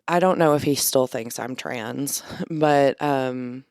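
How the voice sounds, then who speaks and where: A woman with a deep voice co-hosting a podcast, chatting in a laid-back way and chuckling as she tells stories. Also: takes it slowly, a little mellow, smooth, no echo, clean studio sound. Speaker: podcast co-host. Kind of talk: casual chat. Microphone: studio podcast microphone.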